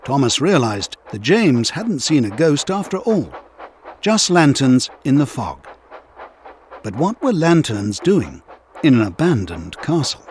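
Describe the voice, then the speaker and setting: A man narrating a scripted children's story in an expressive, measured storytelling manner. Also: mid-range voice, slight background noise.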